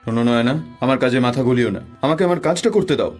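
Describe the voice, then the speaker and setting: A man's voice: medium pitch, racing, a little mellow, soft background music, close to an everyday voice. A man playing a proud, brusque middle-aged father in a cartoon, speaking emphatically. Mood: irritated, defensive. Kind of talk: Bengali cartoon voice acting.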